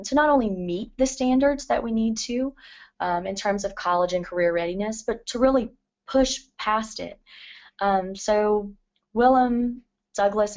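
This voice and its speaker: Scratchy-voiced woman giving a talk. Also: medium pitch. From Louisiana. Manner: frequent ums, careful and earnest. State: scratchy voice from allergies. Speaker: literacy professor and adult educator. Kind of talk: presentation to educators. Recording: dull lo-fi recording.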